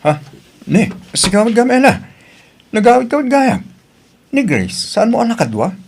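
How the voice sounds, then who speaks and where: A man's voice: very high-pitched, huge pitch swings, normal speed, a little mellow, a little hiss or hum, exaggerated voice. A man playing a hurt, pleading young husband and father in an audio drama, with an Ilocano Filipino accent. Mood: surprised, uneasy.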